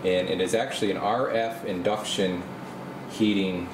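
A man's voice: sits low, moderate pace. A man giving an informal, unscripted show-and-tell tour as host, explaining things casually while holding the camera in a small workshop. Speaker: host of a radio repair channel. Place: small indoor workshop at an electronics bench. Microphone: handheld camera mic, speaker behind camera. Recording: roomy, with steady air-conditioner-like noise.

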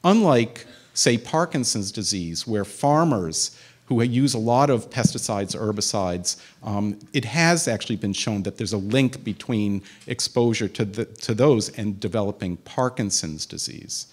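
A man's voice: fairly low pitch, lively intonation, measured pace. A man in his fifties, a physician, giving a conversational public talk in a community center room, speaking into a handheld microphone with many fillers and restarts. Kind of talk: public lecture with audience questions.

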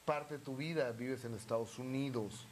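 A man's voice: mid-range voice, steady tone, normal speed, slight background noise, rough recording. A man, hosting a TV studio interview and prompting his guest eagerly. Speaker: TV entertainment show host. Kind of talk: TV interview and presenting. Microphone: lapel mic.

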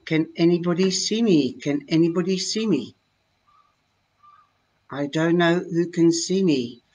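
An older woman with a Dutch accent, talking slowly into her webcam at home and explaining her fortune-telling cards in a chatty, hesitant way. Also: on the low side, warm tone. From Netherlands. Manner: slow, chatty, with many hesitations. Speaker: tarot reader. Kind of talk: live-streamed card reading talk. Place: home room, indoors. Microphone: webcam at desk.

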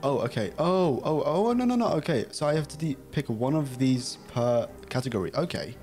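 A man's voice: medium pitch, lively intonation, normal speed, a little mellow, faint music behind. A young Dutch man with a British-style English accent streaming a game from a small room at home, chatting casually, giggling often and reading chat and game text aloud. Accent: Dutch speaker with a British-style English accent. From Netherlands.

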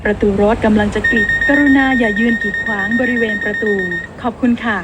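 Woman's door announcement under very loud noise. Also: medium pitch, fairly even intonation. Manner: formal, measured. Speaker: train door-closing announcer. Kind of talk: scripted public transit announcement. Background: door alarm and train noise.

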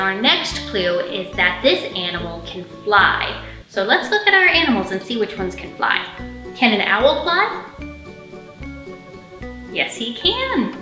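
A woman in her thirties leading a storytime for young children, speaking in simple, expressive phrases with plenty of questions. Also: mid-range voice. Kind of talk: storytime teaching, singing and picture-book reading for young children. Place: indoor library storytime room. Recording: roomy echo, faint music.

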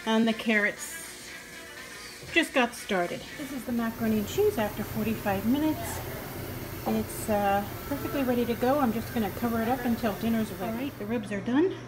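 A woman's voice: fairly high pitch, moderate pace, music in the background, poor audio. A middle-aged woman chatting casually as she films herself cooking a family dinner in her home kitchen, in a homey, talkative way. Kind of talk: casual cooking vlog narration. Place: home kitchen and living room. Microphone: phone camera held in hand.

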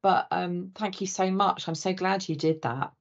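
A woman's voice: lowish voice, steady tone, moderate pace, vocal fry. A middle-aged woman hosting an online book talk from a room with bookshelves, effusive and rambling, with many restarts. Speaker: host and interviewer. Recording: dull lo-fi video call audio.

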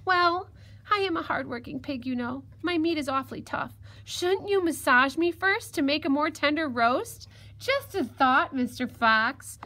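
A woman's voice: sits high, animated, measured pace, pushed and bright, voice pushed up, full character voice. A woman voicing a small young male piglet in a children's story, polite and cunning, pleading and coaxing. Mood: flattering, coaxing.

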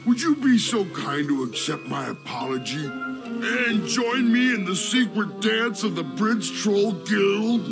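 Huge self-pitying game troll: creaky, highish for a man, dim and plaintive, over music. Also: animated, takes it slowly, full character voice. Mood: wounded, sentimental. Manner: plaintive, talks of himself in third person. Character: huge slow-witted self-pitying stone bridge troll. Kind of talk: animated fantasy game acting. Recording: music behind the voice.

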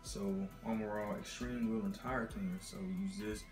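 A man's voice: on the low side, fairly even intonation, normal speed, darkish tone, echoey room, faint music behind. A young man from Texas casually rambling through a car care product rundown, full of fillers, recorded on a laptop mic in a dim apartment. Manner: rambling, filler-heavy, laid-back. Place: dim apartment living room, indoors. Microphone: laptop built-in mic.

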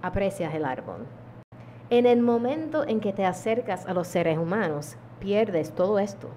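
A woman, calmly teaching a mindfulness lesson to camera, with deliberate pauses. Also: medium pitch, slight background noise. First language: English. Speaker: yoga teacher. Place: indoor room at home. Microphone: small handheld lavalier mic held close.

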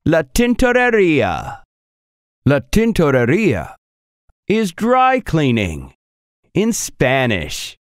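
A woman's voice: low-pitched, huge pitch swings, slow, pauses often, no echo, clean studio sound, exaggerated voice. A woman voicing a cheerful talking translator gadget in a children's cartoon, with a showy, announcing manner. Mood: helpful. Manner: showy, announcing, greets in many languages.

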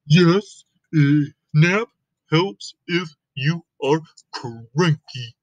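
A man voicing a tired, cranky male elephant in a children's picture book, grumbling deliberately. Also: fairly high pitch, animated, no echo, lo-fi sound, pitched above the performer's own voice, exaggerated voice. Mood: grumpy. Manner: slow, deliberate, grumbling delivery. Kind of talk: picture book read-aloud.

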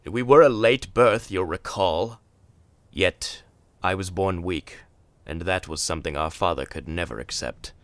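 A man's voice: deep voice, measured pace, dry recording. A man playing a scornful, cunning, very old long-lost brother in a theatrical comic dub, with dry, exasperated menace. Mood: cold, reminiscing.

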